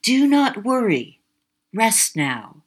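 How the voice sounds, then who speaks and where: A woman voicing a gentle, kindly ghost boy who guides the dead, speaking softly and tenderly. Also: fairly high pitch, big pitch swings, slow, voice pushed up, full character voice. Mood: soothing. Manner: soft, tender, soothing.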